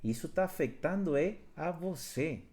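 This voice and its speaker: A man's voice: fairly high pitch, lively intonation, a little slow, a little mellow. A man facilitator on a live stream, speaking earnestly about love and self-awareness and repeating phrases for emphasis. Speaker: workshop facilitator. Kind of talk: live stream talk on relationships. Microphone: live stream from home.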